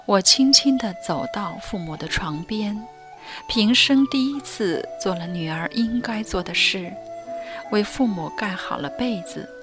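A young woman narrating a radio drama in first person, soft and confessional, often near a whisper. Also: medium pitch, expressive, unhurried. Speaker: narrator and lead. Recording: dry studio voice with faint music.

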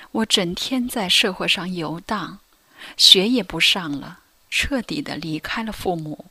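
A woman's voice: mid-range voice, sharp tone, breathy edge, dry recording, exaggerated voice. A young woman narrating as a former teen delinquent girl. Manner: subdued, reflective, at times whispery. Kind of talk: first-person radio drama narration.

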